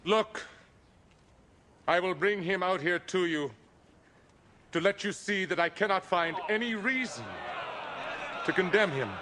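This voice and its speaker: Commanding Roman governor, higher-pitched male voice. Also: measured pace, long pauses, pushed and bright, slight background noise. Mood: loud, proclaiming. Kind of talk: dramatic film acting. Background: film score.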